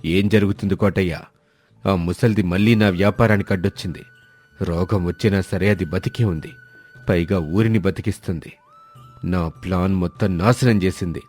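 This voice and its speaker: A man's voice: low voice, steady tone, moderate pace, darkish tone, faint music behind. A man voicing a scheming, power-hungry village landlord in a moral story, menacing and domineering. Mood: angry.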